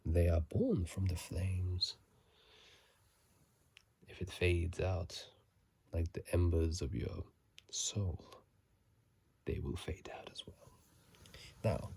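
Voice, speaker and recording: Very low, breathy man murmuring ASMR. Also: no room sound. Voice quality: breathy, husky half-whisper. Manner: soft, soothing, unhurried murmur with repeated phrases. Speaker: host of a gaming ASMR video. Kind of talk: whispered ASMR game commentary. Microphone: phone microphone, close up.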